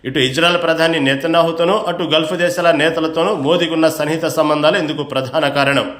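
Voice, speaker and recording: A man's voice: on the high side, fairly even intonation, fast talker, a bit bright, echoey room. A man reading scripted news narration in a formal, emphatic manner.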